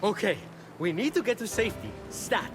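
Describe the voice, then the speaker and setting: Cheeky messenger god for a game, high bouncy voice, glib and jokey, music behind. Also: male voice, sing-song, normal speed. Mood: flippant, teasing. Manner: glib, wry, joking. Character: quick-witted male trickster messenger god, flippant and playful. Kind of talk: animated video game character acting.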